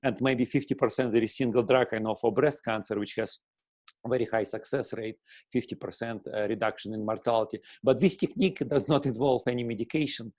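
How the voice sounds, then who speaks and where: A middle-aged man from Russia with a Russian accent, a guest answering questions in halting speech full of fillers and restarts, often clearing his throat. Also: medium pitch, a little mellow, no echo. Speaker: guest, breathing teacher. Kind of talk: casual interview answer.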